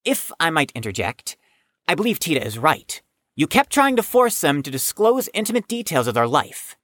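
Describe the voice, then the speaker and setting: A woman's voice: deep voice, very animated, moderate pace, fairly bright tone, heavily acted. A woman playing a prim, rule-minded young patient who speaks formally and precisely in an audio drama. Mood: matter-of-fact.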